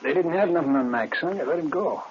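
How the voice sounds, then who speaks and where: An actor playing a nosy, coaxing cellmate with false friendliness, in a 1950s radio crime drama. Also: male voice, a little high, expressive, fast talker, close to an everyday voice. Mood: probing. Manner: coaxing, colloquial, insistent. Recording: muffled, noisy old radio recording.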